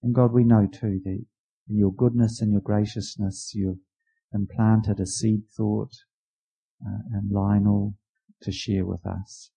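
Gravelly New church leader. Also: male voice, lowish voice, flat delivery, warm tone, low-quality recording. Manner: informal and halting, many restarts. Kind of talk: church service welcome and prayer.

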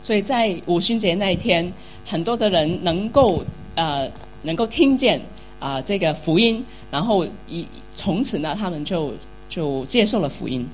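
Low-voiced woman interpreting hesitantly. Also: expressive, moderate pace, faint music behind, rough recording. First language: not Mandarin. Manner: hesitant, with many fillers. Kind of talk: interpreting a sermon into Mandarin.

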